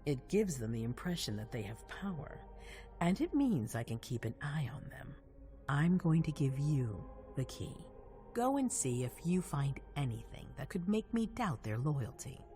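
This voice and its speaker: An actress playing a composed, calculating vampire ruler in a video game cutscene, measured and authoritative. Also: female voice, low-pitched, big pitch swings, mellow. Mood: commanding, measured. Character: commanding vampire prince woman, composed, calculating, regal. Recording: faint music behind.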